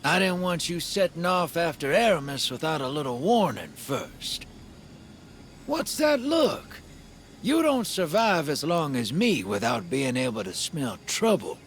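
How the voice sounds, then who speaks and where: A man's voice: on the high side, very animated, a little slow, fairly bright tone, slight background noise, heavily acted. An actor playing a worldly, sly male drifter in a video game, with a folksy, streetwise drawl. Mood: cautionary. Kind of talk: video game dialogue acting.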